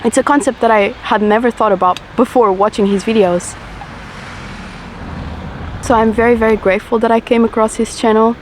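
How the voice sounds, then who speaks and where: A young woman in her twenties, from Portugal, with a low voice, chatting reflectively and a bit ramblingly to camera while smoking. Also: fairly even intonation, a little fast, pauses often, darkish tone, slight background noise. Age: 20s. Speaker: vlogger. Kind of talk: casual chat to camera.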